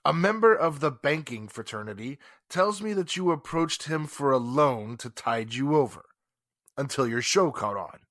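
Guy doing a stuffy rich businessman in an old radio play, bright voice, sarcastic, swoops around a lot. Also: medium pitch, animated, moderate pace, dry recording, clean studio sound, close to an everyday voice. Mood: smug, needling. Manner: pompous, formal, sarcastic. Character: haughty, indignant wealthy businessman under police questioning. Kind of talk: 1940s radio drama acting.

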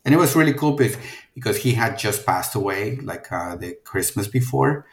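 A man's voice: on the low side, expressive, normal speed, reverberant. A middle-aged man from Mexico with a Mexican accent, a film producer talking casually in an interview and rambling through many restarts and fillers.

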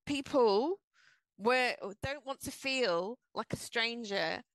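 A woman with an English accent softened by years in Australia, co-hosting a casual podcast discussion and making her points with rhetorical questions. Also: on the high side, expressive, slow pace, no echo, low-quality recording. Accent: English accent with some Australian. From England. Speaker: podcast co-host.

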